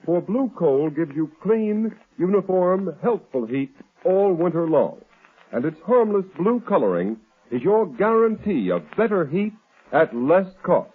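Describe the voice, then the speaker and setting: A male 1930s radio announcer with a highish, very animated voice. He declaims intros and sponsor copy emphatically. The recording is tinny, with faint music. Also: measured pace, breathy edge. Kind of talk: 1930s dramatic announcing and ad reading.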